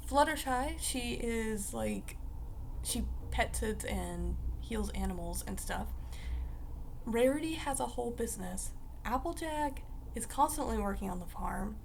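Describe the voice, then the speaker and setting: A young woman vlogging in a casual, rambling way, her voice raspy because she just woke up. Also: mid-range voice, a little hiss or hum. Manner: rambling, chatty, full of fillers like "like" and "uh". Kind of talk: casual talk to camera.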